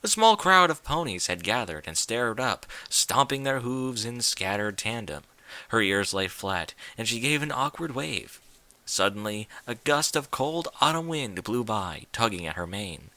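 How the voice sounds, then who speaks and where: A man giving an expressive scripted narration of a story, as in an audiobook. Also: mid-range voice, measured pace, dry recording.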